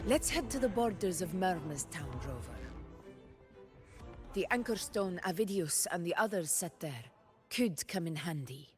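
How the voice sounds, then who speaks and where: An actress playing a grave, resolute warrior ruler with a quiet burden in a video game drama, speaking in a measured, solemn, restrained way. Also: female voice, lowish voice, expressive, soft background music.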